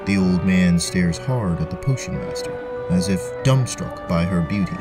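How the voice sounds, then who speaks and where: Deep voiced guy narrating a fantasy adventure, music playing under him. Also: lively intonation, moderate pace. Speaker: narrator, game master.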